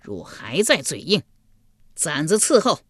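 A woman voicing a harsh male palace guard who shouts at a prisoner during questioning. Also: low-pitched, big pitch swings, measured pace, husky, dry recording, studio quality, heavily acted.

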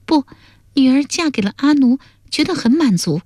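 Woman voicing a plaintive young princess, high-pitched. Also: lively intonation, normal speed, dark tone, no echo, clean studio sound. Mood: earnest. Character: young princess, devoted, naive, anguished daughter. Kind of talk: dramatised Chinese historical audiobook.

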